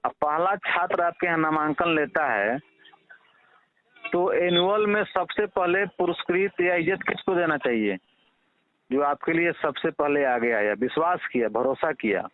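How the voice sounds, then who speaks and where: A man's voice: a little high, measured pace. A man with a Bihari Hindi accent, speaking earnestly and persuasively in a casual, respectful phone conversation. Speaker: father appealing to a reporter. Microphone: telephone. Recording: tinny, narrow-band phone call recording.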